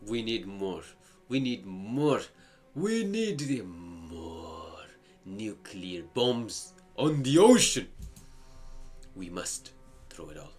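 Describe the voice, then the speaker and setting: A man's voice: fairly low pitch, big pitch swings, very slow, darkish tone, soft background music. A man hosting a casual game playthrough, reacting and talking back to the game, often repeating phrases. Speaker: gaming video host.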